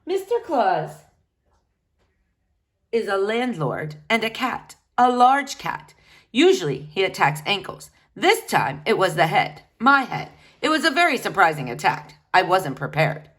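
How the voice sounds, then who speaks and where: A woman's voice: mid-range voice, sing-song, measured pace, fairly bright tone. Hapless storybook dad, mild and earnest, hesitant rambling delivery woman reader in role. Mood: befuddled, earnest. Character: mild, befuddled, hopeful father.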